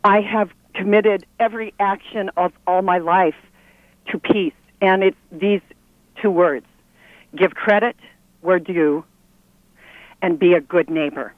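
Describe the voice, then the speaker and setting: A woman's voice: on the low side, fairly even intonation, slow pace, long pauses, no room sound. Older woman, declamatory, on a dull phone line. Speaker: phone-in caller. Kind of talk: live call to a TV phone-in.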